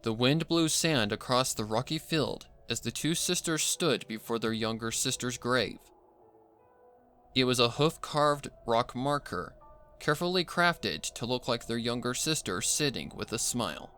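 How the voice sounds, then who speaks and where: A man's voice: medium pitch, animated, measured pace, sharp tone, clear, resonant tone, soft background music. A man reading scripted story narration as the narrator.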